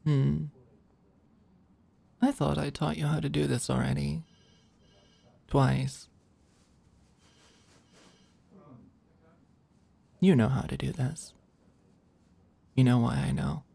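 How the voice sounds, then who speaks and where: A performer of unclear sex playing a flirty, overworked office manager in an intimate ASMR roleplay, with a halting, teasing murmur. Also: mid-range voice, fairly even intonation, creaky, warm tone, breathy edge, exaggerated voice. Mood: teasing, affectionate.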